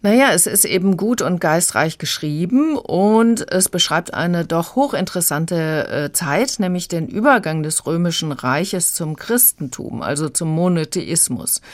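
A woman with a very low voice talks as an audiobook critic on the radio. Her delivery is conversational, and the sound is very dry and close, as from a studio microphone. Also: lively intonation, unhurried, few pauses, no room sound. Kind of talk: conversational book review.

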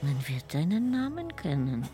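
An actress playing a king's knowing, wry consort in a radio drama, gently mocking and soothing. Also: low voice, wildly swooping pitch, moderate pace, soft background music.

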